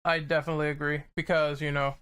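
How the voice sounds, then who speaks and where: A man with a fairly high, bright voice talks casually in a podcast group discussion. His sound is forceful and very clean, with a crisp edge. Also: little pitch movement, fast. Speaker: podcast panelist.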